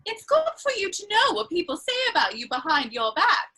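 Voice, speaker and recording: A woman's voice: extremely high pitch, sing-song, brisk, sharp tone, exaggerated voice. An actress playing a witty, teasing young society lady in a comedy of manners, with an arch, theatrical and playfully scolding manner. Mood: mock scolding. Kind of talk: stage comedy of manners, dramatic reading.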